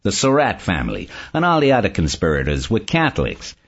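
A man's voice: low-pitched, sing-song, normal speed, husky, pitched below the performer's own voice, heavily acted. A man voicing a confident, eager male investigator in audiobook dialogue, explaining emphatically, on a dull lo-fi recording.

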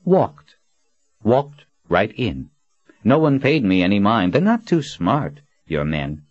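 A man's voice: fairly low pitch, sing-song, slow, a little mellow, no room sound, lo-fi sound, voice pushed up, exaggerated voice. A man voicing a cocky young male assassin, boyish and mocking, with falsely polite, offhand talk. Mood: smug.

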